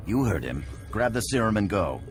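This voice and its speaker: Very deep, curt cell boss. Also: male voice, very animated, moderate pace, a little hiss or hum. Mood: urgent, commanding. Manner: curt, commanding. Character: gruff, commanding male terror cell boss. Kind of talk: scripted game cutscene acting.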